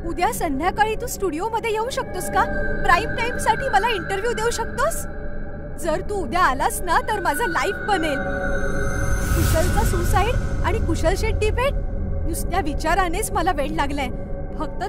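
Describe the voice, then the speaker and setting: An excitable young woman reporter in a horror story, voiced by an actress. Her voice is extremely high and shrill, with dramatic delivery. Loud music runs beneath every word. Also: normal speed. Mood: eager. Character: frightened, pushy young female TV journalist.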